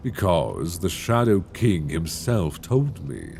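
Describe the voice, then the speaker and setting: A man voicing a gravelly ancient dragon. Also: sits low, very animated, a little mellow, soft background music, full character voice. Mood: seductive. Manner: slow, intimate, coaxing and menacing. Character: ancient possessive male dragon, towering, seductive. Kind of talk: ASMR roleplay. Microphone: binaural mic.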